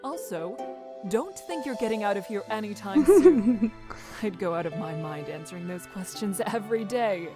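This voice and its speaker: A woman voicing a tough but caring orphanage matron in a visual novel, with a measured, gruffly affectionate manner. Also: mid-range voice, animated, music in the background, lightly acted. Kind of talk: visual novel character reading.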